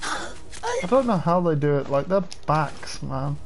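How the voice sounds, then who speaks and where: A man's voice: a little high, sing-song, slow, soft background music. A young man casually talking through a livestream while playing, chatty and swearing at the game. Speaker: game streamer.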